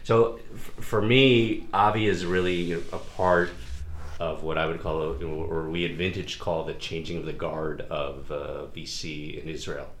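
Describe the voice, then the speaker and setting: A man's voice: rumbling low, little pitch movement, unhurried. A man co-hosting an interview, asking casual, rambling questions with evident interest. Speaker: co-host, interviewer. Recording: echoey room, faint background noise.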